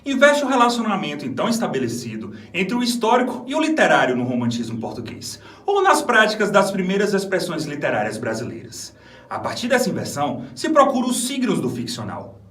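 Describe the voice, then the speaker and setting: A man's voice: high-pitched, wildly swooping pitch, brisk, a bit bright, exaggerated voice. A man with a Brazilian accent, an actor delivering a scripted theatrical monologue presentation. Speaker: stage actor. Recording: echoey room, distant microphone.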